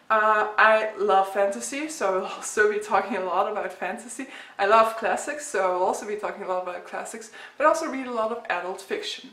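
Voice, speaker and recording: A woman's voice: medium pitch, fairly bright tone. Chatty Flemish woman in her thirties. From Belgium. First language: Dutch. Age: 30s. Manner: chatty, rambling, frequent fillers. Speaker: book vlogger. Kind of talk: casual chat to camera. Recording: echoey room.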